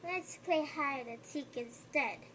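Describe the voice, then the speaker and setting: Woman, high and light voice, unhurried storybook reader who breaks off to chat with the listener. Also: slight background noise. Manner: slow, gentle reading with chatty asides to the listener. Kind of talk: reading a picture book aloud.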